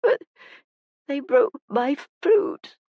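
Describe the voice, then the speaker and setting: A woman's voice: fairly high pitch, very animated, measured pace, slightly rough, no echo, phone-quality sound, voice pushed up, heavily acted. A woman voicing a frightened, polite young lad in an audiobook, with a small, shaky, pleading voice. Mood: despairing.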